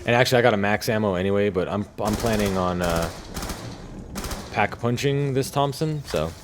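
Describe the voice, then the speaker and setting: A man with a fairly low voice talks through his own gameplay. He is casual and laid-back, with some swearing, and there is faint noise behind him. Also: normal speed. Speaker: gamer narrating his own gameplay video.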